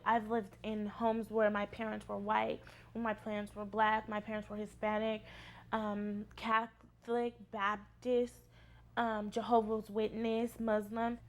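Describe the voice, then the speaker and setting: Creaky, flat-pitched young American woman. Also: a little slow. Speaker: interviewee, former foster youth. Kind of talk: candid personal interview.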